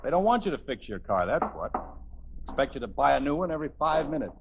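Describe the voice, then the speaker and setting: A man's voice: medium pitch, very animated, fast talker, creaky, very noisy. An American actor playing an exasperated, sarcastic suburban father in a 1950s radio comedy. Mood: grumbling, sarcastic. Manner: sarcastic, grumbling. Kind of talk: 1950s radio sitcom acting. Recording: muffled 1950s radio recording.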